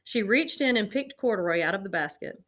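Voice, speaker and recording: A woman narrating a children's picture book aloud in an expressive storytelling manner. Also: medium pitch, a little fast. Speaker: storybook reader.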